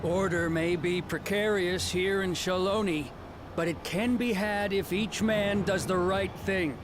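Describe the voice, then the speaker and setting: An actor voicing a smug, condescending deputy chief of frontier lawmen in a video game, with an American accent and a pompous, mocking manner. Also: male voice, high-pitched, fairly even intonation, a little slow, noisy background. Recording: music behind the voice.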